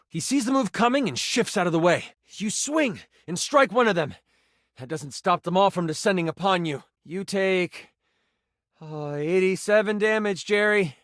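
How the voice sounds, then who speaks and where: A man voicing an excitable, nerdy game master, narrating tabletop fantasy dramatically and dropping into casual, hesitant asides. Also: high voice, no echo, clean studio sound. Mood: dramatic. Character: living D20 die, excitable nerdy male game master.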